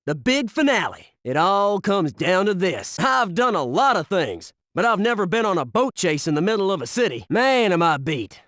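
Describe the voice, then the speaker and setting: A man's voice: high voice, big pitch swings, normal speed, hardly any pauses, fairly bright tone, dry recording, clean studio sound. An American man voicing a wisecracking, gung-ho special forces soldier in a video game, with a sarcastic, informal delivery. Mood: casual, reflective. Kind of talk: video game combat barks and quips.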